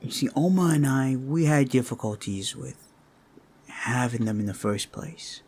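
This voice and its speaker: A man voicing a devoted, worried orc father in a roleplay audio drama, speaking haltingly and earnestly. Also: medium pitch, animated, darkish tone, slight background noise. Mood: heartfelt, sorrowful. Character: Omar, anxious, devoted orc father, grieving for kids.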